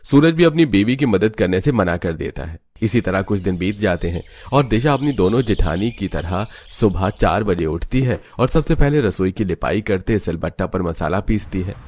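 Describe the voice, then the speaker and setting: A man's voice: on the low side, expressive, normal speed, few pauses, darkish tone, a little hiss or hum. A man narrating a cartoon family story from a script.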